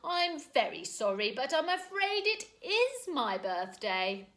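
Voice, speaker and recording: A woman reading aloud, voicing a loud, cheerful older uncle who is given to laughter. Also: big pitch swings, full character voice. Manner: booming, slow and jovial. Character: jolly round old uncle, loud and cheery.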